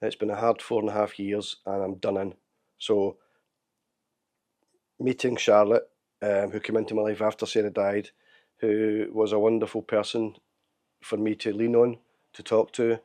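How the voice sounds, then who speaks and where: Male vlogger, middle-aged, hoarse, low mellow voice, open and halting. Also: level tone. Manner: open, candid, halting with restarts. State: hoarse, losing his voice. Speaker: channel host. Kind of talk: casual video update. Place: living room at home.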